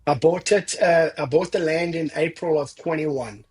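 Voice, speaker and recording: A man's voice: a little high, moderate pace, a little mellow, husky. A man in his forties with a South African accent, a podcast guest telling his life story with self-deprecating humor. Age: 40s. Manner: self-deprecating, laughs at his own stories. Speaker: guest, ranch founder. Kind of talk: casual interview storytelling.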